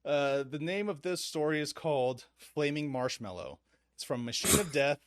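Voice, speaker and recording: A man's voice: a little high, moderate pace, dry recording, clean studio sound. A man hosting a casual podcast, often laughing at himself and stumbling over his words. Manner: laughing, self-deprecating, stumbling. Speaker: podcast host.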